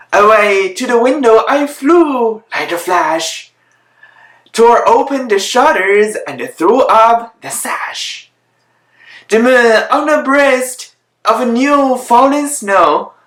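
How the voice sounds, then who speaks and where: Slow, echoey children's storybook reader. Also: mid-range voice, a bit bright. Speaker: host reading children's stories aloud. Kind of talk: read-aloud of children's Christmas stories with off-the-cuff asides. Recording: roomy, echoing home recording.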